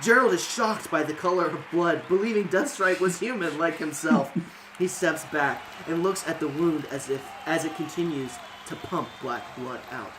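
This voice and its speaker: A man narrating a fantasy story as an amateur dramatic reading, with an animated storytelling manner. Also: fairly high pitch, normal speed, background noise. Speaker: narrator and host.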